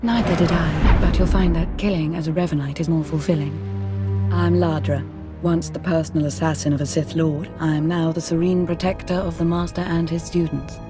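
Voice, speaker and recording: An actress playing a serene, zealous cult assassin in a video game, composed yet fervent. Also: female voice, sits low, steady tone, a little fast, music in the background, natural voice. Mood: serene menace. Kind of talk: scripted video game dialogue.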